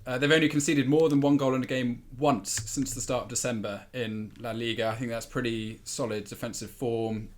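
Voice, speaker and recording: Male podcast pundit, medium pitch, conversational but analytical, measured delivery. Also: steady tone, a little hiss or hum. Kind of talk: analytical sports chat.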